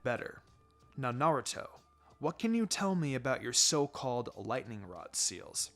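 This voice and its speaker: Man voicing a low, calm ninja teacher. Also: measured pace. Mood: firm, composed. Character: calm, wry adult male ninja teacher, firm but encouraging. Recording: music behind the voice.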